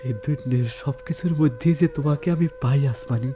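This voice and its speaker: An actor playing a shy, dreamy village poet in love in a radio drama, speaking hesitantly and lyrically with a rural Bangladeshi accent. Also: male voice, mid-range voice, a little fast, warm tone, soft background music, lightly acted.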